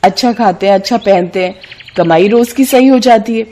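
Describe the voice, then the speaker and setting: A woman acts out a young village street-food seller for a cartoon moral story. She is humble and honest, speaking politely and earnestly at a middle pitch. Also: moderate pace, warm tone, lightly acted. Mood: earnest, content.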